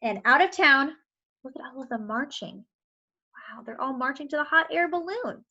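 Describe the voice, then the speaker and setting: A woman reads a picture book to young listeners. Her voice is high and bright, swinging widely in pitch. She is expressive, stopping to ask questions and explain things simply. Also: moderate pace, highs cut off. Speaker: children's storyteller.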